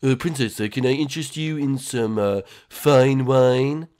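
Casting: scheming monster kid, voiced by a man, excitable and bossy, tripping over words, widely varied pitch, amateur comedy skit. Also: fairly quick, lightly acted. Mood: fussy, bossy. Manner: stammering, excitable. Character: bossy, scheming young boy turtle monster.

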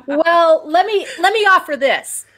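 A middle-aged woman hosting a political discussion show over a video call, who gets excited and jumps up into a higher voice. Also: unhurried, noisy background. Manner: excitable, pitch jumps high when excited. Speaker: show host. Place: home room with bookshelves.

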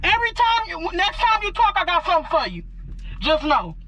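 A woman's voice: extremely high pitch, very animated, fairly quick, pushed and bright, slight background noise. A young woman chatting casually for a prank vlog inside a car, brash and full of slang and swearing. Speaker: family vlog host. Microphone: dashboard camera in front.

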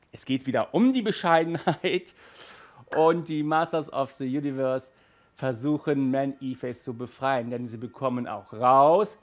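Lively man reviewing audio dramas. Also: a little high. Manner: lively, gestures a lot, stumbles and restarts. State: hot and flustered by the heat. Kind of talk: casual video review. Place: small indoor room with toy shelves.

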